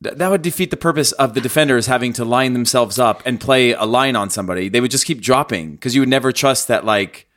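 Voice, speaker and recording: A man's voice: medium pitch, quick pace, hardly any pauses, fairly bright tone. Male host, casual, teasing and argumentative. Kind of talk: casual chat.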